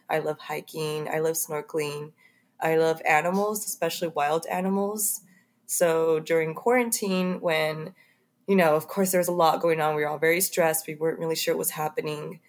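A woman guest talking casually and with enthusiasm, a little under the weather. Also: low-pitched. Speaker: podcast guest. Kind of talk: casual chat.

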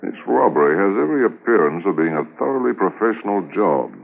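Deep, animated, authoritative police inspector. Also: male voice, gravelly, exaggerated voice. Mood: deliberate, commanding. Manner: measured, commanding, reflective. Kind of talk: 1950s radio drama acting. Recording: muffled 1950s radio transcription recording.

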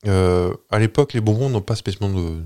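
A man with a fairly low voice hosts a casual podcast. He tells his story in a playful, meandering way and keeps stopping on hesitations as he goes. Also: fast, dark tone, smooth. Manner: playful, rambling, many hesitations. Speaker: podcast host. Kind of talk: casual chat, telling a story.

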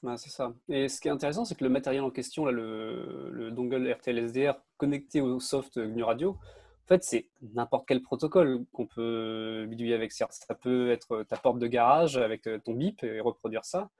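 A man's voice: medium pitch, normal speed, darkish tone, dry recording. A man explaining technology casually, in an enthusiastic and informal way. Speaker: podcast panelist.

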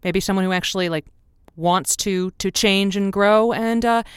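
A woman's voice: mid-range voice, moderate pace, smooth, no room sound, natural voice. A woman playing a chatty, folksy and somewhat hesitant advice host in an improvised radio drama. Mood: needled, sarcastic. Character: chatty female advice-show host, encouraging yet easily needled. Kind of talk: semi-improvised audio drama as radio show.

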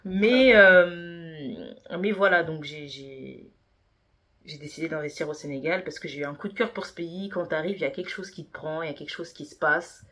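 A woman's voice: low-pitched, moderate pace, a bit bright. A woman in her thirties, a native Yoruba speaker, answering interview questions earnestly with many hesitations and fillers. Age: 30s. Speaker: podcast guest, business consultant.

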